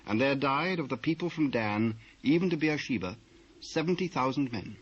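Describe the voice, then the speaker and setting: A man with a British accent narrating dramatised scripture in a measured, formal manner. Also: mid-range voice, lively intonation, breathy edge. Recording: muffled old cassette transfer.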